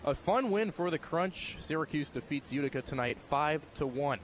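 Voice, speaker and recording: Young adult American male sports announcer, voice a touch high, audible arena noise behind. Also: lively intonation, normal speed. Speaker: sports broadcaster, play-by-play announcer and postgame radio host. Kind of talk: sports commentary.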